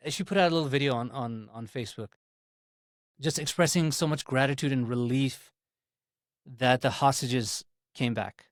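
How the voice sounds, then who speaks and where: A man's voice: medium pitch, normal speed, no echo, clean studio sound. A man from Pakistan speaking as a podcast guest, earnest and impassioned, often repeating words as he makes his point. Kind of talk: casual political discussion.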